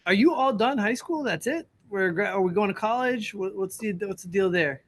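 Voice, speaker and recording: High-voiced man, upbeat co-host. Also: expressive, moderate pace, a bit bright. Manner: upbeat, encouraging, easygoing. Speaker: gym owner and co-host. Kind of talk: casual interview over video call. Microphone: video call.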